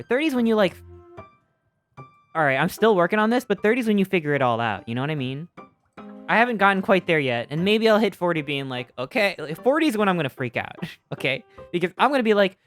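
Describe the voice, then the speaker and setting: A game streamer in their thirties with a mid-pitched voice, talking over music. The delivery is loose and casual, full of slang and swearing. They riff on themselves in a self-deprecating way and get animated while doing it. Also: fast. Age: 30s. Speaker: video game livestreamer. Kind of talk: casual livestream chat over gameplay, reading and answering viewer comments.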